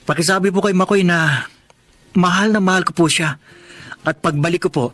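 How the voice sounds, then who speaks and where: A man's voice: high voice, moderate pace, a bit bright, no echo. A man playing a polite, hardworking young orphan with a Filipino accent in a Tagalog radio drama, earnest and deferential. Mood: earnest, warm.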